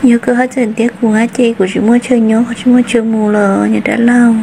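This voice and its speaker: High-voiced cartoon woman acted by a woman, noisy recording. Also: flat delivery, quick pace, creaky, dark tone. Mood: conversational. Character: woman character in a Hmong cartoon drama. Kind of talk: hobby animated cartoon dialogue.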